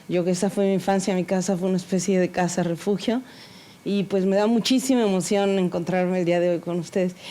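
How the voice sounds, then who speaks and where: A woman speaking earnestly at an academic conference panel, halting and full of fillers, openly nervous. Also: mid-range voice, dark tone. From Mexico. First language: Spanish.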